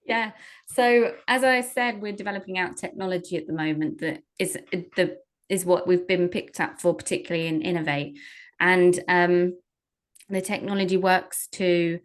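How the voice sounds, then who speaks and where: A woman in her thirties, an entrepreneur answering interview questions with many fillers and restarts. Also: lowish voice. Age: under 40. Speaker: entrepreneur guest.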